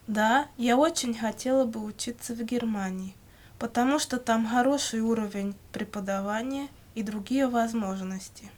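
Woman, slightly high-pitched voice, language-course narrator, scripted questions and answers, careful measured diction. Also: a little mellow. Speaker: language lesson reader.

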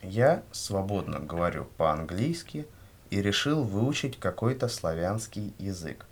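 A man reading scripted language-course answers in a measured, carefully enunciated way. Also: sits low, sing-song, husky. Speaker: language lesson reader.

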